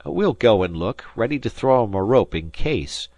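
Male reader as wealthy, indulgent father in an audiobook: measured, decisive, shrewd, widely varied intonation. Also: fairly low pitch, sing-song, a little mellow, no echo. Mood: assured.

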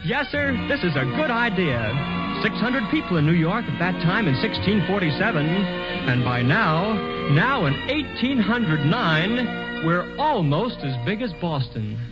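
Wry young writer thinking out loud, highish lively voice, old radio with band behind. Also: male voice, unhurried, over loud music. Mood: musing. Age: 20s. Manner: wry, musing to himself. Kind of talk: 1940s radio acting, narrating and singing. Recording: muffled 1940s radio with orchestra behind.

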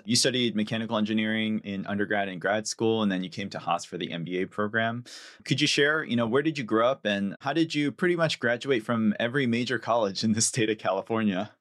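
A man's voice: lowish voice, a bit bright, no room sound, studio quality. A man hosting a podcast, interviewing a guest in a conversational way. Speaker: podcast host.

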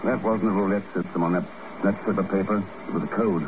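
An actor playing a cool, unflappable secret agent in a 1950s radio drama, calm, dry and understated. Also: male voice, low voice, quick pace, close to an everyday voice. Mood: calm, probing. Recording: noisy muffled old radio recording.

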